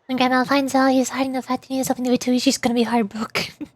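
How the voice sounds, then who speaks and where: A woman's voice: high-pitched, flat delivery, rapid-fire, no room sound. A woman giving casual, playful, rambling commentary as she streams a game and does amateur voice acting.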